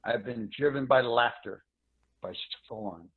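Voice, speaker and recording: A man's voice: mid-range voice, unhurried, natural voice. A man voicing a starved, bitter, dying spacefarer in a dramatic reading, weary and theatrical. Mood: bitter despair. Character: Grito, worn, starved, bitter old spacefarer near death. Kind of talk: dramatic reading of a science fiction story. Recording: tinny, narrow-band video call audio.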